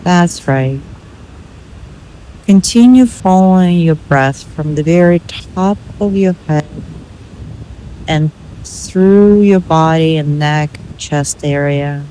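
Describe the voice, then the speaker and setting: Female meditation guide, very unhurried delivery, mid-range pitch, measured instructional phrasing, some background noise. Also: mellow, low-quality recording. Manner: measured, instructional, with pauses between short phrases.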